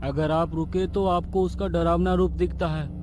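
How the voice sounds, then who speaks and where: A chatty young hotel boy voiced by a man talks in a high male voice with music playing behind him. He is informal, obliging and superstitious, a horror cartoon figure. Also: steady tone, moderate pace, a little mellow, lightly acted. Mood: wary, gossipy.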